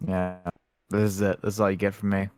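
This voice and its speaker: A man's voice: low voice, monotone, fairly quick, a little mellow, no room sound, clean studio sound. A man co-hosting a podcast, laid-back in casual chat with brief replies. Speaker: podcast co-host.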